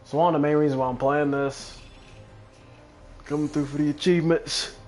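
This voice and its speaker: A man's voice: on the high side, steady tone, normal speed, a little mellow. A young man giving offhand, chatty, profane commentary on a video game playthrough, with game music behind him. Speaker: gaming video host.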